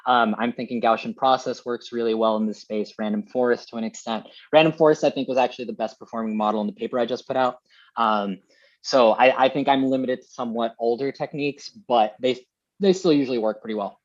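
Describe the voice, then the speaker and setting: A man's voice: mid-range voice, steady tone, brisk, lo-fi sound. A young man from Colombia, a Fulbright scholar, explaining his research in a casual question-and-answer session, with many ums and restarts. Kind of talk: casual academic Q&A.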